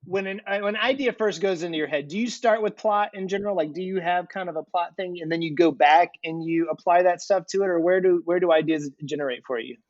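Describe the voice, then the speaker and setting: A man's voice: high-pitched, fast, hardly any pauses, dry recording, lo-fi sound. A man hosting a casual conversational interview podcast and talking as a fellow writer.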